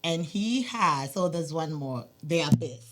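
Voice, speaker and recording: A woman's voice: deep voice, expressive, a little slow. Candid woman guest. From Nigeria and Ghana. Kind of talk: casual interview chat.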